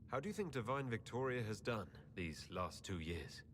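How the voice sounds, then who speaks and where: A man's voice: on the low side, expressive, normal speed, a bit bright, slight background noise. A man playing a composed, courteous fantasy leader in a video game, speaking politely and directly. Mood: wry, polite. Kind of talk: video game cutscene acting.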